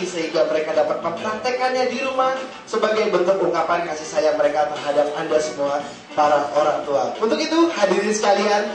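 Guy mc'ing a ceremony, light and upbeat, music going behind him. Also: high-pitched. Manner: upbeat, joking. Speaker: event emcee. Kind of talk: ceremony hosting. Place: indoor theater hall. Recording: echoey hall, distant mic, music. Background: music playing.

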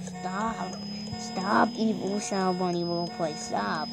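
A woman's voice: mid-range voice, fairly even intonation, takes it slowly, vocal fry, loud music behind the voice. A woman doing playful gameplay commentary with roleplay banter, on a noisy home recording. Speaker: gamer playing a roleplay.

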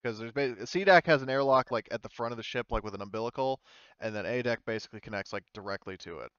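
A man narrating a tabletop roleplaying game as game master in an informal, halting way. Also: mid-range voice, fairly bright tone, clear, resonant tone, no echo, dull audio.

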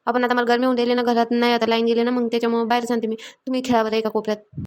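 A woman's voice: fairly high pitch, monotone, darkish tone, clear, resonant tone, natural voice. A woman voicing a busy, scolding village mother in a cartoon, nagging. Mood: bossy, busy. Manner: nagging, rapid repeated orders. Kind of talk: Marathi comedy cartoon dialogue.

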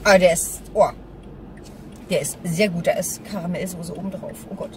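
A woman's voice: fairly low pitch, fairly even intonation, normal speed. A woman, talking casually and loosely in an informal vlog recorded in a car. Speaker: vlogger. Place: inside a small car. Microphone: dashboard camera at arm's length. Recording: loud car noise.

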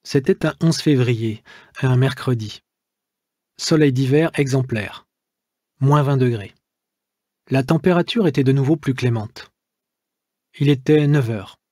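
Male author narrating his own audiobook; husky, close dry studio sound, measured literary delivery. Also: mid-range voice, warm tone, no room sound. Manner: measured, literary first-person reading.

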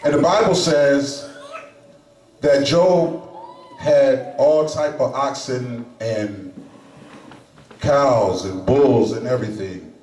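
Slow, emphatic preacher in an echoey chapel. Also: male voice, medium pitch, darkish tone, slight background noise. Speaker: lay preacher. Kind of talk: sermon. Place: small chapel, indoors. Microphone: handheld microphone. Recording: echoey room, camera at a distance.

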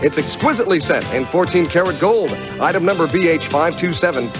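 High-pitched male game show announcer. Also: animated, fairly quick. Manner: polished salesman patter. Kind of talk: scripted prize and sales announcement. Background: music.

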